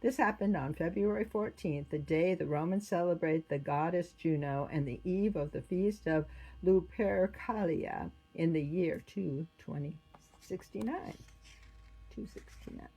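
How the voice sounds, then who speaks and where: A woman's voice: lowish voice, unhurried, mellow, slightly rough, rough recording. A woman reading a children's religious story aloud as its narrator.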